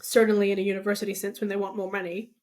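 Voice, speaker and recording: A woman's voice: mid-range voice, flat delivery, very fast, darkish tone. Young female podcast co-host, New Zealand accent, level intonation, conversational and thoughtful. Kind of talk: casual conversational podcast talk.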